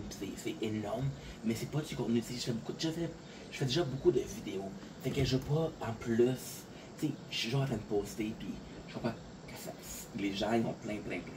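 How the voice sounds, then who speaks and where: Un homme de Montréal à l'accent québécois, un peu fatigué, qui parle de façon décousue en se répétant beaucoup. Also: male voice, on the low side, noisy background. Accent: Québécois French. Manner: rambling, repeats words, frequent fillers. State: a little tired. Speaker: content creator. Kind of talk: casual talk to camera. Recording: distant voice with clear outdoor ambience.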